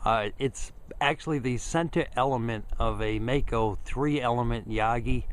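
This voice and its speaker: A man's voice: mid-range voice, clear, resonant tone. A man giving a casual hands-on demonstration to camera, plain-spoken and thinking aloud as he works. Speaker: host of a hobby radio video.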